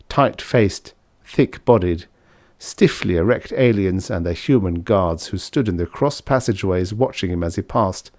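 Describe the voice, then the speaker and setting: A man's voice: lowish voice, a little mellow, dry recording. A man reading a vintage science fiction story as scripted audiobook narration.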